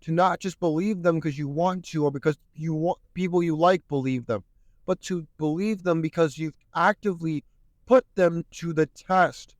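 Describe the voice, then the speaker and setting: A man talks alone into the mic in an earnest, sermon-like way, his slightly high voice starting to wear out and go hoarse. The sound is dry and close, with no room around it. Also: normal speed, no room sound. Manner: earnest, preachy, repeats "my friends". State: losing his voice. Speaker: podcast host. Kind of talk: solo podcast monologue.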